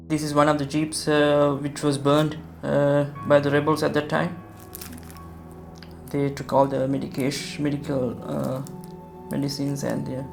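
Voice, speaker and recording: A middle-aged man from Sri Lanka, recalling his war memories in a hesitant documentary interview with many pauses and restarts. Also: mid-range voice, gravelly. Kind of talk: documentary interview, personal recollection. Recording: echoey room with faint music.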